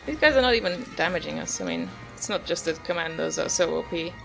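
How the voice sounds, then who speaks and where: A woman's voice: lowish voice, expressive, quick pace, vocal fry. A woman giving casual, musing, understated commentary while playing a video game. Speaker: gamer, video host. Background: video game music.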